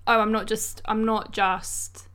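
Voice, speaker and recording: Woman, podcast co-host, smooth clear voice, informal and reflective conversational delivery. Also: medium pitch, little pitch movement, measured pace, fairly bright tone. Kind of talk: casual conversational discussion.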